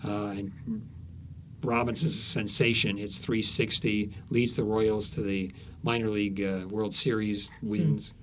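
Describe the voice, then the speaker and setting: A man's voice: lowish voice, level tone, measured pace, slight background noise. A man speaking as an author being interviewed, telling stories conversationally, searching for words and repeating some for emphasis.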